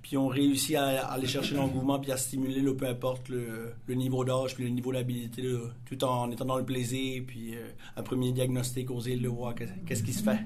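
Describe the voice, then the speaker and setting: A man's voice: medium pitch, completely flat delivery, fairly quick, hardly any pauses, darkish tone. A man with a Magdalen Islands French accent, a soccer coach answering interview questions in eager, filler-heavy speech. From Magdalen Islands, Quebec.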